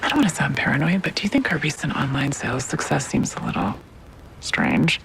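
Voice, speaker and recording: A person in an acted ad, whispering suspicions in a hushed, paranoid way. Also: mid-range voice, animated, brisk, gravelly, background noise. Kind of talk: acted ad dialogue.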